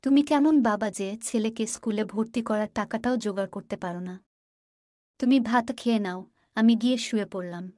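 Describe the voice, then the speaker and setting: A woman playing a worried, exasperated poor village mother in a cartoon, plaintive and quick to scold. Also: a little high, warm tone, no room sound, clean studio sound, lightly acted. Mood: reproachful.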